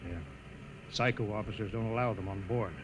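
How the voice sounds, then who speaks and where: Actor as wistful officer, noisy old radio recording. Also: male voice, lowish voice, a little fast, lightly acted. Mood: resigned, reflective. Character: reflective, idealistic naval officer aboard a doomed underground cruiser. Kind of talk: 1950s radio drama acting.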